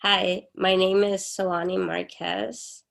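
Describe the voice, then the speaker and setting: Young woman guest with a gravelly edge. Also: medium pitch, level tone, slow pace. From Honduras. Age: young adult. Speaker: interview guest. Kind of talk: casual interview chat.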